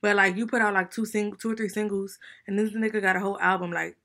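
A young woman co-hosting a podcast with a soft voice, chatting casually about music. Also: medium pitch. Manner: fast, relaxed, talks over others. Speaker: podcast co-host.

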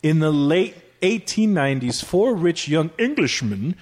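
A man's voice: a little high, very animated, unhurried, a little mellow. A man and podcast co-host giving a dramatic reading of a story, with joking asides. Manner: hammy storyteller voice, breaks into laughs.

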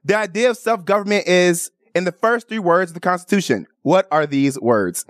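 Animated American woman hosting a talk show. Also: lowish voice, normal speed, no echo, studio quality. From Chicago, United States. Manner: animated, conversational, emphatic, laughs readily. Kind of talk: upbeat news commentary and chat to listeners.

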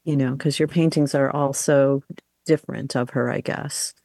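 A woman's voice: rumbling low, fairly even intonation, normal speed, mellow, no echo, clean studio sound. A woman co-hosting a radio arts interview, asking long, searching questions full of fillers. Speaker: radio arts show co-host.

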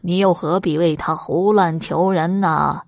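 A woman's voice: lowish voice, steady tone, unhurried, vocal fry, no room sound, clean studio sound. Woman putting on a drawling mocking old beggar man. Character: scornful old male beggar, secretly an underworld official. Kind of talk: storytelling.

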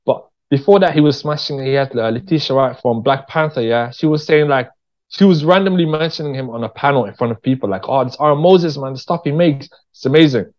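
A man's voice: mid-range voice, quick pace, darkish tone. Candid man, slightly muffled recording. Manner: candid, slangy, emphatic with repeated phrases. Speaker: interview guest, screenwriter and director. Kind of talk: casual chat.

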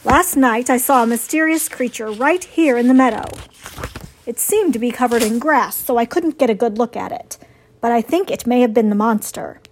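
A woman's voice: on the high side, normal speed, a little hiss or hum, natural voice, low-quality recording. A woman voicing a bossy, alarmed and suspicious owl in a storybook, declaiming emphatically. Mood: self-important.

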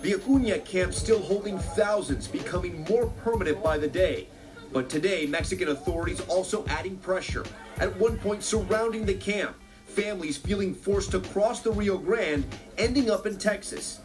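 High-pitched male news correspondent. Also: moderate pace, music in the background. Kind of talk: scripted news report voice-over.

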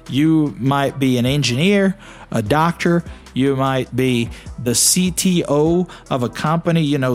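A man with a Brazilian accent talks his listeners through learning English, coaxing them along and tossing out questions as he goes. His voice sits a touch higher than most men's, and soft music hums faintly beneath him. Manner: encouraging, asks listeners questions, frequent "you know" and "okay?". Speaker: English teacher and podcast host. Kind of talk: conversational teaching podcast.

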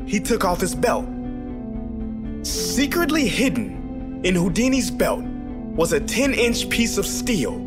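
Guy narrating a motivational story real slow, music loud behind him. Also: high-pitched. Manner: slow, dramatic storytelling with pauses.

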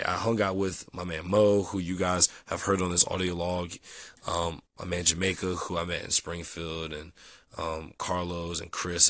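A man's voice: deep voice, a bit bright, dry recording, clean studio sound. A young man talking casually in an audio vlog, sick with a cold that leaves him nasal and dried out, rambling with many ums. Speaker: vlogger.

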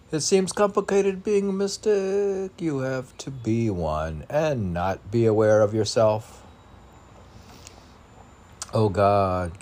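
A man reading his own spiritual poems aloud in a halting way, with phrases that rise emphatically. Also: fairly low pitch, a little mellow. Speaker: poet reading own work. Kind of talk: recited spiritual poetry reading.

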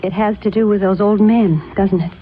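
A woman's voice: medium pitch, steady tone, moderate pace, natural voice. An actress playing an anxious, reluctant young woman in a radio drama, speaking in a hesitant, emotional way. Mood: uneasy, earnest. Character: anxious, reluctant young niece drawn into sorcery. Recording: tinny old radio sound, faint music.

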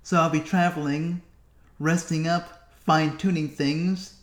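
A man's voice: a little high, steady tone. Musing podcast man in an echoey room. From United States. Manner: musing, self-talking, with long pauses. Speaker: podcast host. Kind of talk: solo reflective podcast monologue.

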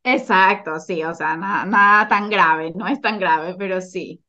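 Slightly dull, lo-fi audio carries a woman's medium-pitched voice with a Latin American accent. She is a guest in a casual interview. Her talk is a little hesitant and full of hedging. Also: a little slow, pushed and bright. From Venezuela and Chile. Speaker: podcast guest, Spanish teacher.

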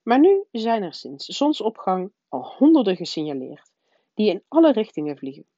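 A woman voicing a wry male television newsreader with measured presenter delivery, in a storybook reading. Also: sits high, huge pitch swings, mellow, no echo, lo-fi sound, pitched above the performer's own voice, heavily acted. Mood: wry, announcing.

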